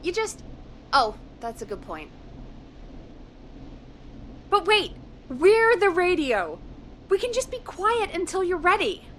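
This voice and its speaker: High-voiced, perky radio producer. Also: female voice, sing-song, slow pace, long pauses, a bit bright, a little hiss or hum, full character voice. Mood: eager, doubtful. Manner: chirpy, chatty, enthusiastic. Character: perky, gossipy, eager female radio show producer. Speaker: radio producer putting calls through. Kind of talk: video game voice acting.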